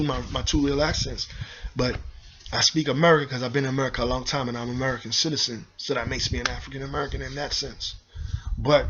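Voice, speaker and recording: A man's voice: mid-range voice, fairly bright tone, a little hiss or hum. A man ranting heatedly to his viewers in a vlog, preachy and repetitive, calling on them directly as y'all. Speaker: video host. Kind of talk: impassioned vlog rant to viewers. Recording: dull lo-fi sound.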